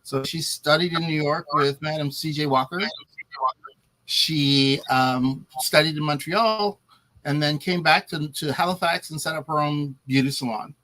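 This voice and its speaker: A man with a Nova Scotian accent, a guest on a talk show, calmly explaining his work and a local history. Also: a little high, unhurried, low-quality recording.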